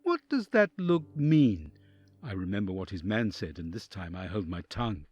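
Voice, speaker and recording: A man's voice: lowish voice, sing-song, normal speed, a little mellow, husky, no room sound, highs cut off. A man playing an awed, anxious envoy who narrates a dramatic audio drama in the first person. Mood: nervous, hushed.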